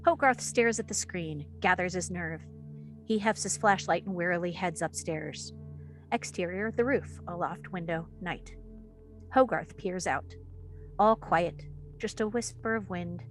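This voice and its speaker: A woman's voice: on the low side, unhurried, soft background music. A woman reading stage directions as the narrator of a screenplay table read.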